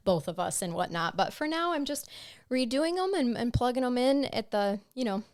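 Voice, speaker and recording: A woman's voice: a little high, very animated, normal speed. Chatty woman podcast host. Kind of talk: casual chat.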